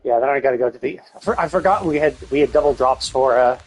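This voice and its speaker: A man livestreaming a video game with casual, sweary, exasperated commentary in a husky voice. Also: medium pitch, quick pace, poor audio.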